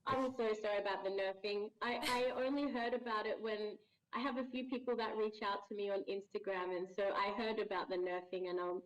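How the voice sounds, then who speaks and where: A woman voice actor chatting as a guest over a remote call, friendly and quick to laugh. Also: mid-range voice, steady tone, lo-fi sound. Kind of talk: casual podcast interview. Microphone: remote video call.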